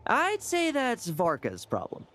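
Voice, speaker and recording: A woman's voice: on the high side, wildly swooping pitch, measured pace, a bit bright, full character voice. A woman voicing a young female traveler hero in a game, with a dry, wry delivery. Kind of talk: game voice acting. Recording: game audio with faint music.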